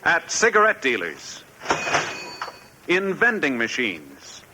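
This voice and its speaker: A man's voice: fairly high pitch, huge pitch swings, slow. A man announcing on 1950s radio in a formal, emphatic manner. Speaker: radio show and commercial announcer. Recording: noisy old 1950s radio recording.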